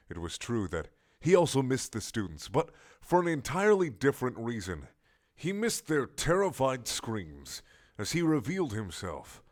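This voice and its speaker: A man narrating comic fiction from a script in a theatrical, measured, storytelling way. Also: medium pitch. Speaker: narrator reading a fan story.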